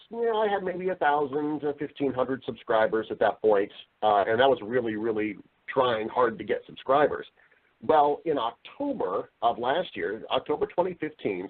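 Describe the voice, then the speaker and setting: Male interview guest, mid-pitched voice, chatty and conversational, voiceover professional talking about his work. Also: narrow, tinny audio.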